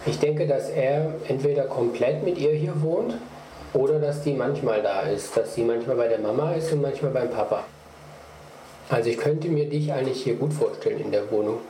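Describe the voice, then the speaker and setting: Mellow-voiced man in echoey room. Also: mid-range voice, normal speed. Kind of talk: casual chat. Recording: echoey room, faint background noise.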